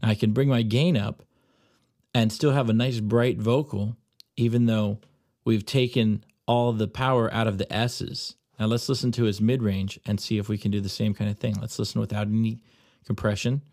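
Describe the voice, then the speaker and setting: A man presenting an audio software tutorial, narrating instructional steps as he demonstrates on screen. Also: on the low side, steady tone, normal speed, no echo, clean studio sound.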